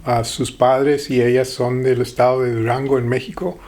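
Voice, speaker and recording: A middle-aged man from the Texas-Mexico border, a guest in an interview, speaking with hesitant pauses and fillers. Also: mid-range voice, little pitch movement, darkish tone. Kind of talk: interview conversation.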